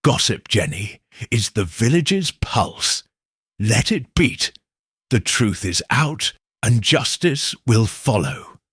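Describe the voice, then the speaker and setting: A man voicing a calm, shrewd woman amateur sleuth in a mystery audiobook, explaining things in a measured, assured way. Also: fairly low pitch, slightly rough, no echo, clean studio sound, exaggerated voice. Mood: calm, triumphant.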